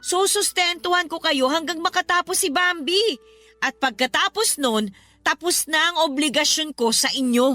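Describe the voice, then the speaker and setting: A woman's voice: sits high, moderate pace, bright, forceful tone, no room sound, soft background music. A woman playing a defiant grown daughter, pleading yet firm with her mother, in a Filipino radio drama.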